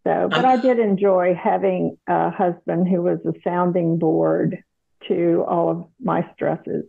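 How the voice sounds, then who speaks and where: An older woman speaks slowly and deliberately, her voice carrying a gravelly edge. She tells her story in a measured, conversational way, breaking into light laughs. The sound comes through a thin, phone-like line. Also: on the low side, steady tone. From South Carolina, USA. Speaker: guest, retired doctor. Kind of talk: casual interview chat.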